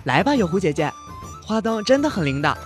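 A woman playing an eager, innocent and polite young boy in a fantasy audio drama, earnest and lively. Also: medium pitch, brisk, soft background music, close to an everyday voice. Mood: excited, coaxing.

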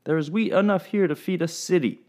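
A man's voice: high voice, brisk, mellow, voice pushed up, lightly acted. A man voicing an earnest sea captain who declaims his lines in a raised, carrying voice. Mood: pleading. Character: earnest grown sea captain, proud and joyous.

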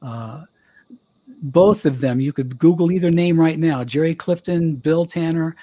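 Older male guest, youthful slightly high voice, husky edge, lively storyteller. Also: a little slow, no echo. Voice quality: young-sounding voice. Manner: chatty, animated storyteller. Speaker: guest, former radio DJ. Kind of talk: casual storytelling interview.

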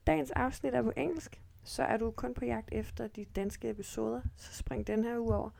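A woman introduces the podcast episode in a plain, announcing way. Her voice is strongly gravelly and mellow in tone. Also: a little high, fairly even intonation, brisk. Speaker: podcast host.

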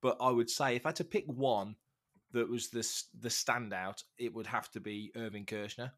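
A middle-aged man with a slightly rough, congested voice from a cold, telling anecdotes casually as a podcast guest. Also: medium pitch, normal speed, a bit bright. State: getting over a cold, congested. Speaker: podcast guest, fan-site writer and interviewer.

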